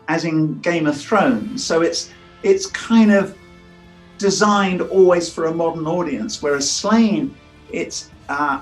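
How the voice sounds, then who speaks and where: A man's voice: on the high side, poor audio. A man, a writer, talking reflectively in an interview and voicing his own thoughts as he explains. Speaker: comics writer. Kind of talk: interview talk.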